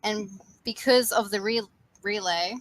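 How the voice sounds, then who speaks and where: A woman's voice: medium pitch, unhurried, a bit bright, no room sound, lightly acted, low-quality recording. A woman playing a big, friendly, anxious young man who rambles hesitantly through video messages in an amateur fan fiction reading. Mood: awkward, friendly. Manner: rambling, hesitant, stumbling casual delivery.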